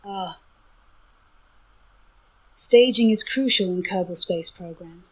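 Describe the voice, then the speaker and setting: Woman, synthetic assistant voice, husky, mid-pitched, measured, formal briefing style. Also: rough recording. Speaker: AI voice assistant companion. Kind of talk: clear explanatory briefing, scripted-sounding.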